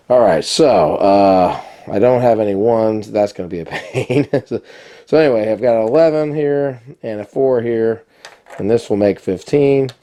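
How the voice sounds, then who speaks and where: Low, mellow man with a cold-raspy voice. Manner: relaxed, chatty explaining with many fillers. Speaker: board game reviewer. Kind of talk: casual how-to-play review.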